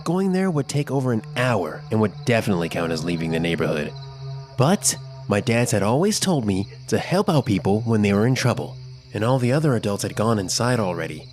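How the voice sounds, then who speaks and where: A man narrating a scripted first-person children's story in an expressive, dramatic storytelling manner. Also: fairly low pitch, fairly quick, soft background music.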